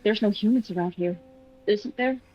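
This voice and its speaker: A woman improvising dialogue in a tabletop roleplay, halting and repeating herself, on a dry, slightly dull mic. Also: medium pitch, faint music behind. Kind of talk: improvised in-character roleplay chat.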